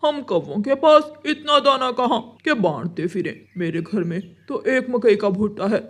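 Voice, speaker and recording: A man voicing a stingy, dismissive crow neighbour with a husky voice in a children's cartoon. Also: high voice, animated, normal speed, a bit bright, exaggerated voice.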